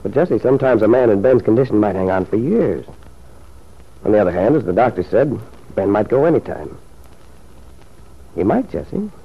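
An actor playing a smooth, scheming male lover in a radio drama, suave and coaxing in manner. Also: fairly low pitch, big pitch swings, moderate pace, long pauses, heavily acted. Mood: insinuating. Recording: muffled old radio drama recording.

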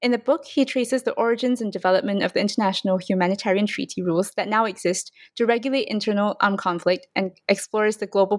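A woman's voice: medium pitch, no echo, studio quality. A woman hosting an academic book podcast, reading a formal guest introduction and asking questions. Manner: reads a formal introduction quickly. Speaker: podcast host.

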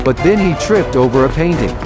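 A man's voice: mid-range voice, lively intonation, a little fast. A man narrating a scripted action story for an audio drama. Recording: music bed behind narration.

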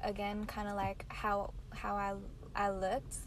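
A young woman leading a casual group chat, speaking softly and filling her sentences with "like". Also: mid-range voice, monotone, measured pace, a little hiss or hum. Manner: frequent "like" fillers, soft-spoken. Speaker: discussion leader.

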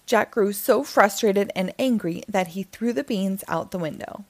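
A woman reading a children's storybook aloud as narrator, in an expressive storytelling style. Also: mid-range voice, normal speed, no room sound.

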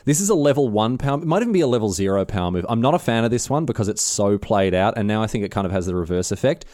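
A man's voice: lowish voice, animated, very fast, darkish tone, no room sound. A young man with a city Australian accent chats and jokes as a podcast co-host, often restarting his sentences. The sound is close and dry, with no room around him. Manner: chatty, joking, many restarts. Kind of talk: casual chat.

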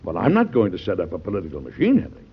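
Swooping, creaky, principled old-radio president. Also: male voice, deep voice, huge pitch swings, racing, full character voice. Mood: earnest, resolute. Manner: earnest, old-fashioned, declamatory, formal. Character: principled, stubborn, dignified male American president. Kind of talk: old-time radio drama acting. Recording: muffled vintage radio recording.

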